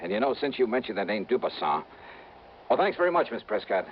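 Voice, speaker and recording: An actor playing a hardboiled, wry insurance investigator in a 1950s radio detective drama. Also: male voice, on the low side, sing-song, quick pace, a little hiss or hum. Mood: brisk, businesslike. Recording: dull vintage radio recording, music behind.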